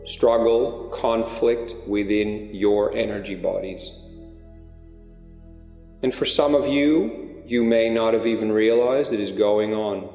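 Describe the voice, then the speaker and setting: A man leading a spiritual guided meditation, speaking in slow, deliberate phrases with long pauses. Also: mid-range voice, darkish tone. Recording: echoey hall-like reverb under music. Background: music.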